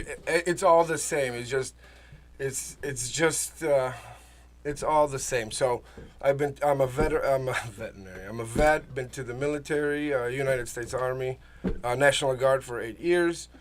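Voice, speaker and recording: A man from the Czech Republic, a native Czech speaker, talking casually as an interview guest, halting, repeating himself and searching for words. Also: mid-range voice, slight background noise. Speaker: interview guest, small business owner. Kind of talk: casual, personal interview talk.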